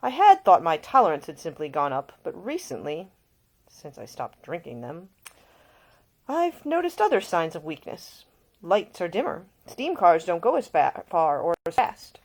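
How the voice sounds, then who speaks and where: A woman's voice: on the low side, wildly swooping pitch, moderate pace, full character voice. A woman voicing a jaded young male earl in an audiobook, curt, dry and guarded in his answers.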